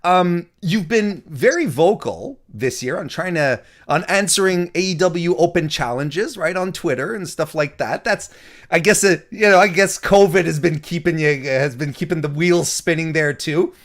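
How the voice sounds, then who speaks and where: A man hosting a wrestling interview, talking in halting restarts and cracking jokes. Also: fairly high pitch, a bit bright. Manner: halting, many restarts, joking. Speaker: interview host. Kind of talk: casual interview.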